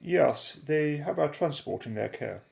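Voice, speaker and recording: A man's voice: on the high side, animated, measured pace, vocal fry, a little mellow, exaggerated voice. A man playing an earnest, eccentric pilot adventurer who speaks formally in a radio play.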